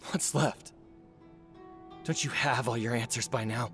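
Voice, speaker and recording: A resolute overseer of a forge in a dramatic game story. He speaks solemnly and accusingly, in a medium-pitched, deliberate voice. Faint music plays underneath. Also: a bit bright. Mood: stern, urgent. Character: earnest, grave forge overseer confronting a traitor, resolute. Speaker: video game character. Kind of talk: dramatic video game voice acting. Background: background music.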